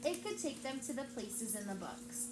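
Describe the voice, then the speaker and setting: A woman's voice: fairly high pitch, very animated, moderate pace. A woman teacher reading a chapter book aloud to her class, stopping often to ask the children questions. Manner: engaging, asks the class questions. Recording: roomy with background noise.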